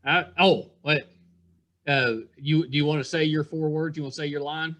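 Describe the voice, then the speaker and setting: A middle-aged man hosts a casual conversational interview. His voice is somewhat high for a man. He phrases things haltingly and agreeably. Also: steady tone, a bit bright. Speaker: podcast host, interviewer.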